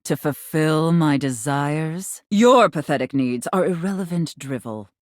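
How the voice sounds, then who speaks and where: A woman's voice: deep voice, unhurried, no echo, studio quality. A woman voicing a haughty, domineering mistress character in a video game, imperious and scornful, savoring key words. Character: haughty, domineering female safe with a dominatrix persona.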